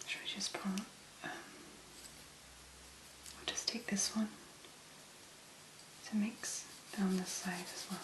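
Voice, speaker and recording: A woman doing soft-spoken ASMR, murmuring relaxed, whispery commentary as she works. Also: medium pitch, takes it slowly, long pauses, roomy sound, low-quality recording. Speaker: ASMR creator.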